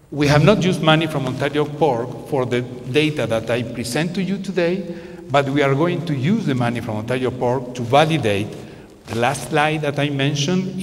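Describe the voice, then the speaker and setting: A middle-pitched man in his fifties delivers a conference keynote into a handheld mic, working through his points in non-native phrasing and often restarting his sentences. His voice carries a long, lingering reverb from the large hall around him. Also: a little hiss or hum. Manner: non-native phrasing, frequent restarts, gestures while speaking. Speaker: keynote speaker, researcher. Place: large conference room with curtains. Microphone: handheld microphone.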